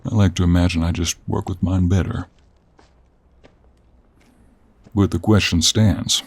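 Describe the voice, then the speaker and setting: Man acting a cowboy monster hunter, deep gravelly voice, unhurried Western American drawl, gruff, teasing, intimate fantasy audio roleplay. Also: steady tone, a little mellow. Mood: probing, wry. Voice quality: gravelly, husky.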